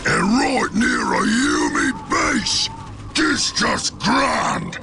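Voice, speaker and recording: An actor playing a brash, belligerent Ork warboss in a video game, blustering in crude broken grammar. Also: male voice, extremely high pitch, wildly swooping pitch, very slow, creaky, over music, exaggerated voice. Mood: irritated bluster. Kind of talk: cartoonish video game voice acting.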